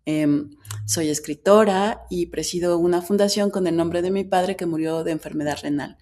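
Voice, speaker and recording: A woman's voice: fairly low pitch, moderate pace, mellow. A middle-aged woman from Veracruz with a Mexican accent, telling her personal story candidly as an interview guest. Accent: Mexican Spanish. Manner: reflective, candid, speaks in long flowing stretches. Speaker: guest, writer and foundation president.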